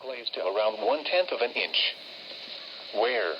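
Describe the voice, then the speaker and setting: A man's voice: mid-range voice, very animated, a little slow. A synthetic man's voice reading a formal, scripted weather forecast as an automated radio announcer. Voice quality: synthetic text-to-speech voice. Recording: muffled radio broadcast with loud static. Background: radio static.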